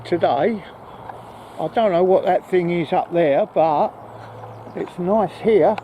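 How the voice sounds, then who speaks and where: A man's voice: high voice, takes it slowly, narrow, tinny audio. A man chatting casually and a little hesitantly to camera as he walks outdoors in a park. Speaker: vlogger. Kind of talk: casual chat to camera while walking. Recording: noisy outdoor recording with wind.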